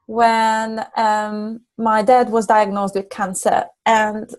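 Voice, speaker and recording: A middle-aged woman from Hungary with a Hungarian accent, talking earnestly as a guest business coach, halting and restarting often. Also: a little high, little pitch movement. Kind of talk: casual interview chat.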